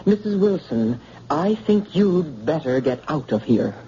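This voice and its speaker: A tired young doctor with a dry, weary humor. The voice is mid-pitched and dark, with lively swings. The sound is a muffled old radio broadcast with faint hiss. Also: measured pace. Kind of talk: 1940s radio acting.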